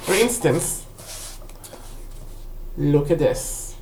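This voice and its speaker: A woman, a native Hebrew speaker, casually rambling through a book review for a vlog in a halting, self-correcting way. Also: low-pitched, expressive, darkish tone. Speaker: book haul vlog host. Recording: roomy, echoey indoor sound.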